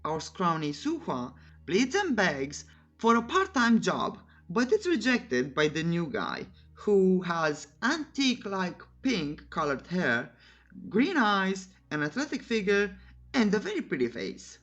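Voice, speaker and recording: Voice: mid-range voice, big pitch swings, slow, fairly bright tone, muffled recording. A narrator giving a scripted story recap in an informal, wry way, breaking off for joking asides. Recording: clear music bed under voice. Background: background music.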